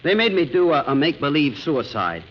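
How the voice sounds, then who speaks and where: Naive, lively young playwright. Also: male voice, fairly high pitch, fairly quick. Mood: sheepish. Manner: hesitant, guileless, sheepish. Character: naive, eager small-town young male playwright. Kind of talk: 1940s radio comedy acting. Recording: muffled noisy 1940s radio broadcast.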